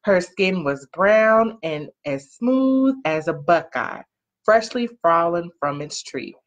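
Woman, lowish voice, expressive children's story reading, stretched key words, questions to kids, muffled laptop-mic recording. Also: takes it slowly. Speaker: parent-like storyteller reading a picture book to children. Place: small living room, on a couch. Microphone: webcam or laptop mic at arm's length. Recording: muffled lo-fi webcam audio.

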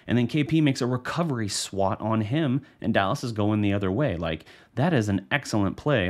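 American male sports analyst, thirties, low register, conversational and loosely unscripted. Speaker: sports show host and analyst. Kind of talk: casual unscripted basketball postgame commentary. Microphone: studio microphone on a boom arm.

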